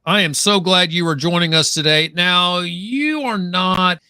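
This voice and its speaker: Bright, upbeat host. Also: male voice, sits high, unhurried, smooth. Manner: upbeat and effusive. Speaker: podcast host. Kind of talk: live-stream interview.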